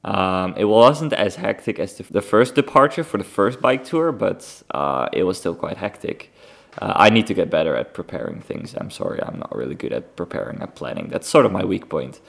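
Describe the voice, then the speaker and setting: A young Dutch man hosts a casual solo podcast in a lowish, creaky voice. His English has a Dutch accent. He talks informally and rambles along as he gives an update. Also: animated, normal speed. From Netherlands. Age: young adult. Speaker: podcast host.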